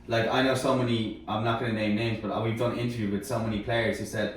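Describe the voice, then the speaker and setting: A man's voice: fairly low pitch, level tone, fast. A man talking casually as a panellist in a sports discussion. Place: echoey indoor room. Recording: very echoey room, distant mic, faint noise.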